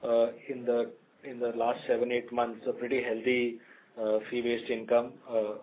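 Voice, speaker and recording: A man with an Indian accent answering analysts as a company executive, speaking off the cuff in a halting way and repeating words. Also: mid-range voice, completely flat delivery. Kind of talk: unscripted earnings call answers. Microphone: telephone conference call. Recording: narrow, tinny phone conference line.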